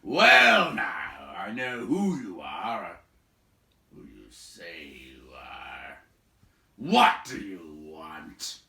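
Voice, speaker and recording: A man voicing a huge, gruff, bearish storybook man who is suspicious and blunt, with a growling, drawn-out delivery. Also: low voice, huge pitch swings, sharp tone, heavily acted. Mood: blunt, wary. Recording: echoey room, distant from mic.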